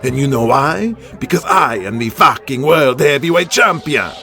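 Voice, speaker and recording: An actor playing a boastful, arrogant villain wrestler who speaks in broken English in an audio drama. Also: male voice, mid-range voice, lively intonation, moderate pace, sharp tone, soft background music. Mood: leering gloating.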